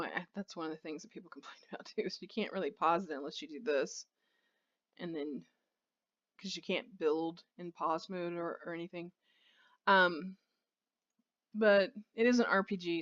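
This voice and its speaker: A woman's voice: fairly low pitch, lively intonation, normal speed, fairly bright tone, muffled recording. A woman giving casual let's play commentary on a video game, rambling and thinking aloud as she plays.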